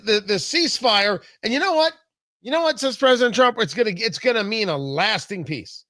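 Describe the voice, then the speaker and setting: Bright, high-pitched man hosting political talk radio. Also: no room sound, clean studio sound. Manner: theatrical, emphatic, with dramatic pauses and repeats. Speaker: radio talk show host. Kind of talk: opinionated political monologue. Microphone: close studio microphone.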